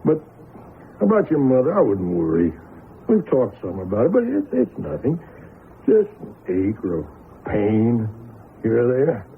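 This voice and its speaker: An actor playing an aging farmer father in an old-time radio drama, folksy, gravelly and hesitant. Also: mid-range voice, wildly swooping pitch, unhurried, pauses often, full character voice. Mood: hesitant, evasive. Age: older. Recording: tinny, hissy radio drama recording.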